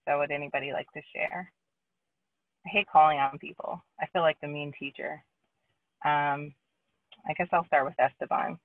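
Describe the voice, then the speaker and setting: A woman's voice: low-pitched, fairly even intonation, normal speed, pauses often, no room sound. A woman moderating a panel discussion, candid and self-deprecating as she shares and calls on panelists. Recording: tinny phone-line audio.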